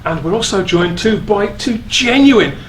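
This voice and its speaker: A man with a high-pitched voice casually introduces guests as co-host of a live panel. He sounds recorded in an echoey hall with faint noise. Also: animated, a little slow. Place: on stage at a convention.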